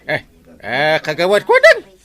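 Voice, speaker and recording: A man acting an excitable, bossy, folksy village captain in an Ilocano comedy radio drama, with an Ilocano accent and exclamatory delivery. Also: fairly high pitch, background noise. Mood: excited, jovial. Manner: exclamatory, starts phrases with drawn-out interjections. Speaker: lead comic character.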